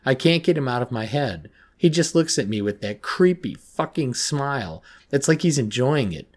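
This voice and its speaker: A man's voice: fairly low pitch, expressive, normal speed, husky, pitched above the performer's own voice. Haunted schoolgirl, frightened and pleading, voice pushed well up by a male narrator, for a horror story. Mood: distraught, pleading. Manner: pleading, emphatic, agitated. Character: frightened, distraught teenage schoolgirl haunted by nightmares.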